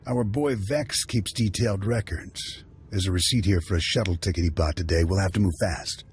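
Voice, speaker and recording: A man playing a seasoned bounty hunter mentor who briefs in a steady, matter-of-fact way in video game dialogue. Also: low voice, moderate pace, slight background noise, natural voice. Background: faint game music.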